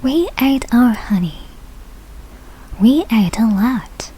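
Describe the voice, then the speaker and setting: A woman reading a rhyming picture book aloud slowly, with careful, deliberate phrasing. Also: a little high, expressive, dark tone, slightly rough, rough recording. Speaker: English learner reading a children's book aloud.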